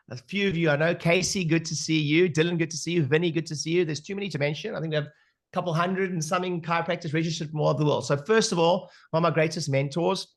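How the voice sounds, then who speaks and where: South African guest speaker, animated, chatty, on a video call. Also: male voice, on the high side. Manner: animated, filler-heavy storytelling. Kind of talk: webinar talk.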